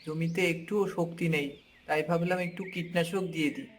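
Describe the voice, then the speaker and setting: A chatty village farmer voiced by a man speaks in a very high male voice. He is a friendly neighbour in a cartoon folk tale, with faint music and birdsong in the background. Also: fairly even intonation, a little fast, natural voice. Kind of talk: cartoon fairy-tale dialogue acting.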